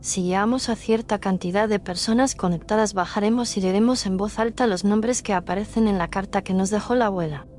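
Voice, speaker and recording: A woman's voice: medium pitch, brisk, few pauses, darkish tone, a little hiss or hum, natural voice. A woman playing a bold livestream host in a scripted horror story, with a matter-of-fact presenting manner.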